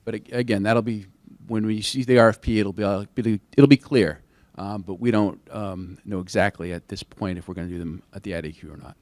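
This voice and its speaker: A man's voice: lowish voice, steady tone, a little fast, hardly any pauses, darkish tone, no echo. A man answering questions as a contracting officer at an industry briefing, careful and hedging, with frequent restarts.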